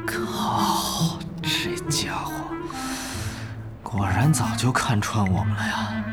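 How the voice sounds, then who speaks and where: A man's voice: on the low side, lively intonation, takes it slowly, loud music behind the voice. An actor playing an exasperated male gamer in an audio drama. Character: exasperated male gamer watching events unfold.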